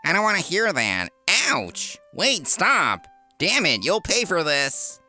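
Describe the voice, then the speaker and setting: Man voicing a sneering younger brother, condescending, lively pitch swings, manga dub, music behind. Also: takes it slowly, exaggerated voice. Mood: angry. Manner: mocking, condescending. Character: sneering, smug younger brother with a corporate job.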